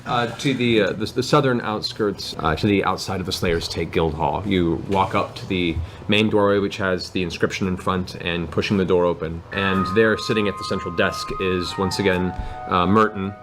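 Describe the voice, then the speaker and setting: Male game master, low voice, descriptive fantasy narration, theatrical, halting, clear music underneath.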